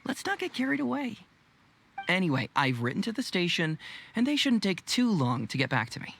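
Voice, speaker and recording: Playful, theatrical investigator for a game. Mid-pitched, animated intonation, composed and teasing. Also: female voice, brisk, vocal fry, heavily acted. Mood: confiding, assured. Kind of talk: video game voice acting.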